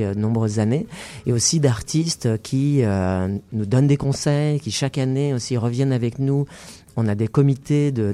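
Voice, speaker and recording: A man from France, a festival founder, answering interview questions in a measured way with many pauses and fillers. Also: mid-range voice, mellow. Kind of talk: interview conversation.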